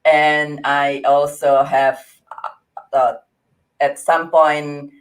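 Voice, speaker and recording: A woman in her forties with an Indonesian accent, a guest in an interview, speaking earnestly with frequent laughs and halting restarts. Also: low-pitched, flat delivery, low-quality recording. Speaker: interview guest, grief coach. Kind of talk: conversational interview.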